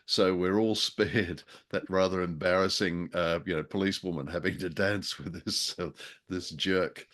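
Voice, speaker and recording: A man's voice: sits low, fairly even intonation, normal speed, fairly bright tone. A man co-hosting a casual review chat, speaking in a wordy, wry, hesitant way. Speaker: co-host of a radio drama review show.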